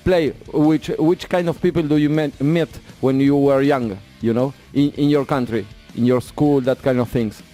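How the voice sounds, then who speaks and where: A man whose first language is Spanish, interviewing a band in halting English, searching for words and laughing often. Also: mid-range voice, fairly even intonation, mellow, faint music behind. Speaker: radio interviewer. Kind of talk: casual radio interview.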